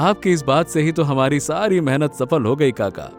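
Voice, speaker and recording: Guy doing a cheerful generous village son, cartoon style, highish and really animated, music behind. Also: unhurried. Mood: cheerful, respectful. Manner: respectful, good-humoured. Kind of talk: Hindi cartoon story dialogue.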